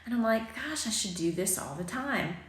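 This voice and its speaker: A woman in her forties, a little raspy from just waking up, chatting casually and rambling to viewers over morning tea, filmed on a phone at arm's length in her home kitchen. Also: mid-range voice, lively intonation, moderate pace. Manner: chatty, rambling, self-deprecating, with frequent ums. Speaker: boutique owner vlogging. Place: sunny home kitchen, indoors. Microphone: phone camera at arm's length. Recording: echoey kitchen room.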